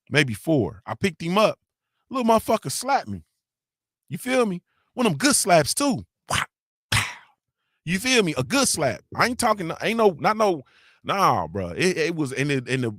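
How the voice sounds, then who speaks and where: A man's voice: high voice, a bit bright, dry recording. Man with dry sound telling stories bluntly. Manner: loose storytelling with heavy profanity and slang; frequent filler tags like "you feel me". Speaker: morning show host. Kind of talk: casual live-stream chat reading comments.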